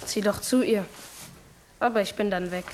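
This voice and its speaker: A woman's voice: medium pitch, steady tone, normal speed, darkish tone, slight background noise, close to an everyday voice. A female-sounding performer playing a blunt, defiant, proud schoolboy son in a German radio drama.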